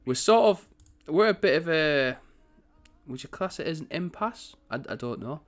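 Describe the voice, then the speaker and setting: Chatty man with a croaky voice. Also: on the high side, expressive, moderate pace. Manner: chatty, rambling, self-correcting. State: croaky morning voice. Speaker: gaming channel host. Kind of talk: casual strategy game commentary.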